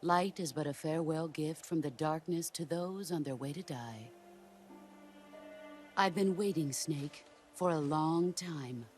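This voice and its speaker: A stern middle-aged woman soldier, calm and solemn, lowish voice, faint music behind, game cutscene. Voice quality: calm, measured, solemn delivery.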